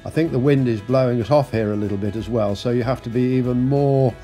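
A man casually narrating his boat's arrival at a marina, relaxed and full of ums and uhs. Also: medium pitch, fast talker, soft background music. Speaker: sailing vlogger. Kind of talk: casual narration over footage.

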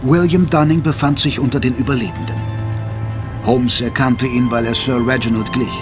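Male narrator, medium pitch, scripted dramatic storytelling with prominent music underneath. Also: moderate pace.

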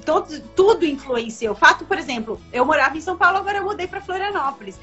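Woman, 30s, Brazilian, high-pitched, emphatic and lively; dull lo-fi webcam audio with faint music under it. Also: fast talker. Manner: animated, emphatic, many fillers and restarts. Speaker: comics editor and guest. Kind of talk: casual video-call interview. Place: home room with bookshelves. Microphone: laptop webcam on video call. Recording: dull lo-fi video call audio.

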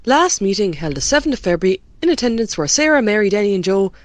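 A woman's voice: medium pitch, very animated, fast, lightly acted. An Irish woman playing a chatty rural committee member in an amateur radio drama. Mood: matter-of-fact.

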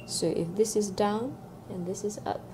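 A woman's voice: mid-range voice, normal speed. A young woman working as a clinician, explaining each step of an exam to a patient and asking simple questions. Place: small clinical exam room. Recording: noisy room recording.

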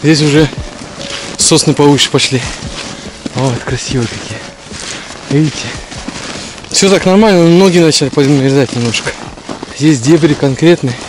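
A man's voice: a little high, normal speed, long pauses, background noise. A man in his forties narrating a casual hiking vlog as he walks through a snowy pine forest, filmed on an action camera he holds at arm's length. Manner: chatty, breathless while trudging through snow. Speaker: vlogger. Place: snowy pine forest outdoors in deep frost. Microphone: action camera held at arm's length.

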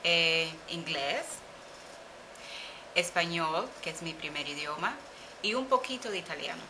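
A woman in her forties from Cuba with a Cuban Spanish accent, answering interview questions slowly with many pauses, recorded on a webcam in a small office. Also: on the low side, expressive, sharp tone, slight background noise, rough recording. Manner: hesitant, thinks aloud with fillers. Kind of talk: slow, careful conversational answers. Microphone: webcam at desk.

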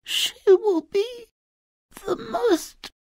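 A woman voicing a gentle, melodramatic fairy-tale queen in a video game, with a breathy voice. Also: extremely high pitch, very slow, dry recording, clean studio sound, full character voice. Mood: tender, straining. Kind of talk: video game character acting.

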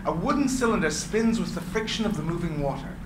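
A man reading the stage directions aloud at a live script reading, evenly and clearly. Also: high voice, animated, quick pace. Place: small room with seated audience. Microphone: far camera. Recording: echoey room with faint hiss.

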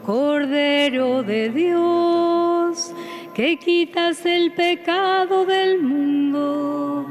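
A woman cantor singing a slow, sustained chant during mass. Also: very high-pitched, fairly even intonation. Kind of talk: sung chant. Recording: church reverb with music.

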